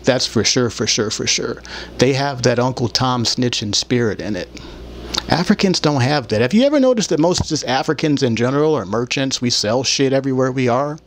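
A man in his thirties hosting his own show, delivering a rambling, blunt and aggrieved monologue with profanity into a desktop microphone in a small room. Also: mid-range voice. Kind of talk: rambling opinionated monologue to camera. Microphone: desktop microphone, later held in hand. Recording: clear background noise, room ambience.

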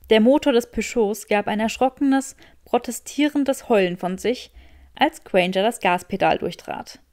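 A woman reading a story as an audiobook narrator, with expressive scripted delivery. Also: a little high, no room sound, studio quality.

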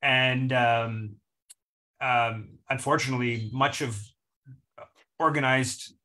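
A man in his thirties speaks from home on a video call, measured and steady with a few fillers. His voice has a bright, forward edge. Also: mid-range voice. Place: home room, indoors. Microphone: webcam video call.

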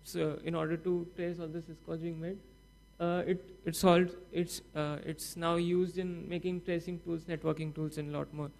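A young man gives a technical talk from a conference stage, with a slightly high voice. He speaks haltingly, stopping often with fillers and restarting his sentences. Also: little pitch movement, gravelly, darkish tone. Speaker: student project presenter.

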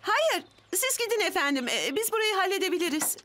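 A woman playing an earnest, capable young female firefighter in an animated series, with expressive delivery. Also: very high-pitched, fairly quick, exaggerated voice. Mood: confident. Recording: loud music under the dialogue.

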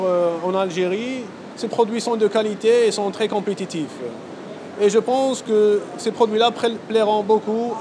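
A man in his thirties, an exhibitor, earnestly promoting products in a trade fair interview at a booth. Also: high voice. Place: exhibition hall booth indoors. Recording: noisy exhibition hall.

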